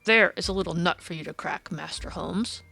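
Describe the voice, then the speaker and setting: A woman voicing a gruff, irritable policeman in an audiobook, snarling and emphatic with indignation. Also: on the low side, a little fast, sharp tone, faint music behind, heavily acted. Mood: challenging. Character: gruff, irritable, blustering male police detective.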